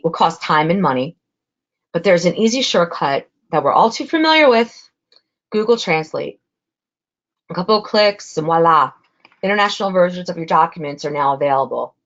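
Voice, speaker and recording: A woman's voice: on the low side, expressive, moderate pace, fairly bright tone, muffled recording. A woman with a Spanish accent giving a webinar presentation in a conversational way, with frequent fillers.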